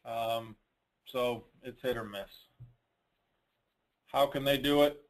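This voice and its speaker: A man's voice: medium pitch, fairly even intonation, slow. A man hosting an informal question-and-answer call, giving advice in a blunt, colloquial way and repeating points for emphasis. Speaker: host of a dealer network conference call answering questions. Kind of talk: informal Q&A, advising.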